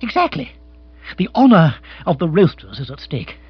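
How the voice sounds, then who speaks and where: A man's voice: high-pitched, huge pitch swings, moderate pace, breathy edge, no room sound, muffled recording, faint music behind, pitched above the performer's own voice, exaggerated voice. A man voicing an agitated, petulant young English lord in a comic storybook, flustered and exclamatory in upper-class slang. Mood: indignant, flustered.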